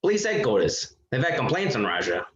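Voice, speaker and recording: A plain-spoken newspaperman, acted by a man in an old radio play, heard through a muffled, roomy recording. The voice sits at a middle pitch and reports matter-of-factly. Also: huge pitch swings, a little fast, fairly bright tone. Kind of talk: old-time radio drama acting. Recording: muffled, echoey sound.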